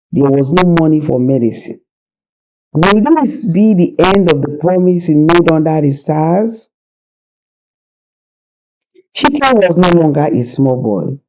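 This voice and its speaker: A storyteller narrating a folktale in a slow, deliberate, suspenseful and dramatic way. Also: mid-range voice. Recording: hissy background noise.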